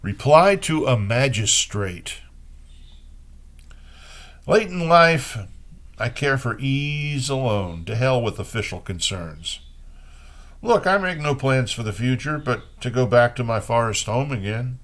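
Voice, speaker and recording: A man reading poems aloud as host, in an unhurried way with pauses between short phrases. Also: medium pitch, animated, a bit bright. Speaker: poetry reader and host. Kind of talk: poetry reading with brief spoken commentary.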